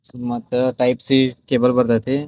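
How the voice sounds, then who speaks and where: A man's voice: medium pitch, little pitch movement, moderate pace, a little mellow, no room sound. A man with a North Karnataka Kannada accent presenting a product in a casual unboxing style, with repetitive sales patter and English words mixed in. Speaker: shop video host and product presenter.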